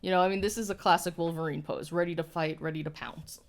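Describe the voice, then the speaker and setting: A young woman co-hosting a collectible review at a studio table, frank with her opinions and quick to laugh. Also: sits low, fairly even intonation. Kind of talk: casual review chat. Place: indoor studio set at a table.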